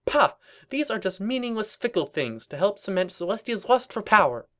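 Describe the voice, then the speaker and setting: Reader doing an indignant rebel girl pony, cartoon style, high voice, snappy and defensive, ranty. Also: sing-song, moderate pace, fairly bright tone. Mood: defiant, ranting. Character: indignant, fanatical young female cartoon pony revolutionary.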